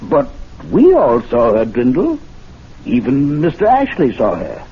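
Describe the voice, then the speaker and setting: Animated, genteel club president. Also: male voice, mid-range voice, a little slow, breathy edge, full character voice. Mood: concerned, probing. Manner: patrician, probing, courteous. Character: genteel, authoritative gentlemen's club president, concerned but incredulous. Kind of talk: 1970s radio drama acting. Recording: muffled, noisy old radio recording.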